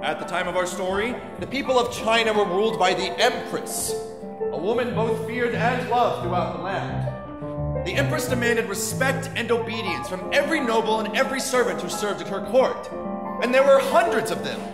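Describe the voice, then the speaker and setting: A man who is an opera baritone narrates and explains a story on stage in a clear, scripted storyteller's manner. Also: lively intonation, normal speed. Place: opera house stage, live show. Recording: echoey hall with piano.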